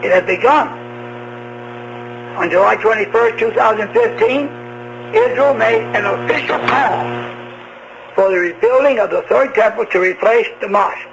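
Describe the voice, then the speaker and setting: A man preaching an apocalyptic prophecy sermon in a deliberate, emphatic way, repeating phrases, on a shortwave radio broadcast. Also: high-pitched, big pitch swings, vocal fry, music in the background, poor audio.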